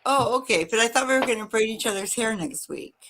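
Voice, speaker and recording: A woman's voice: a little high, lively intonation, quick pace. Blunt swearing woman, faint hiss. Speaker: panel guest. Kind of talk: casual livestream banter.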